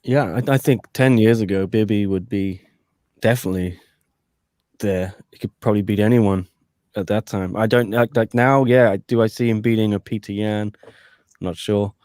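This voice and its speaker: A man's voice: on the low side, fairly even intonation, normal speed, darkish tone, no echo, clean studio sound. A man co-hosting a post-fight podcast as a sports reporter, chatting casually with jokey asides to listeners.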